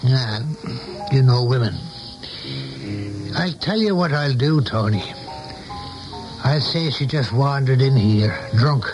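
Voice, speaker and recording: A man's voice: mid-range voice, slow pace, pauses often, lightly acted. An actor playing a worldly, cynical male political adviser in a radio drama, with a wry, knowing and sardonic way of talking. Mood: slick, cynical. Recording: dull old radio recording with music.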